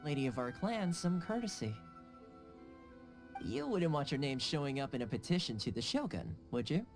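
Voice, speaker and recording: An actor playing an affable, easygoing young clan fixer in a video game, smooth-talking and teasing. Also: male voice, on the high side, sing-song, moderate pace, over music. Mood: smooth, placating. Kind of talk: English video game dub acting.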